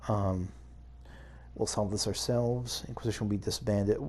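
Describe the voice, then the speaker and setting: A man's voice: lowish voice, steady tone, moderate pace, a little mellow. A man giving casual video game playthrough commentary, hesitantly thinking aloud as he goes. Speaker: gaming video host.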